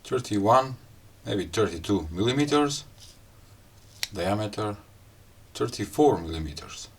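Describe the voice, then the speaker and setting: A man with a fairly low voice and a non-native English accent talks through a gadget demonstration. His delivery is very slow and casual, broken into short phrases with pauses between them. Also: lively intonation, a little hiss or hum. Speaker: gadget review host. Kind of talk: casual hands-on unboxing and product demo talk.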